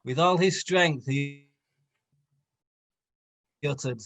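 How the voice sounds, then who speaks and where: A man's voice: fairly high pitch, drawn-out words, fairly bright tone. A man reading a story aloud for comedy with dramatic delivery, stumbling and correcting himself. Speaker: co-reader on a comedy stream.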